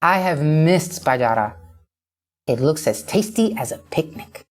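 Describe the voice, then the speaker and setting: A woman's voice: low voice, animated, a little slow. Woman voicing a growling, delighted alien gourmand. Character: bulbous-nosed alien dignitary, a delighted, rubbery-lipped gourmand.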